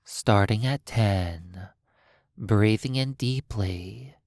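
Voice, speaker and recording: A hypnotist guides a sleep session at an extremely slow pace. The delivery is soothing and broken by long pauses. The recording is dry, with very little room sound. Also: mid-range voice, darkish tone, no room sound. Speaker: hypnotist narrator.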